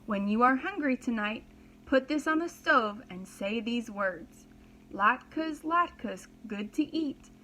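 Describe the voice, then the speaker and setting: Woman reading as a slow, kindly old woman. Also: on the high side, expressive, bright, forceful tone, a little hiss or hum, full character voice. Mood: kindly, instructive. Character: kind, bent old peasant woman, shivering yet gently solemn.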